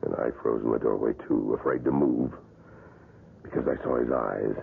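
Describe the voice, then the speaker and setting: An actor playing a politely grim wax museum attendant telling a haunted tale in a gravelly, husky voice, in old-time radio drama style. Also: male voice, very deep voice, steady tone, moderate pace, full character voice. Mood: haunted, tense. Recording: tinny, band-limited old radio broadcast.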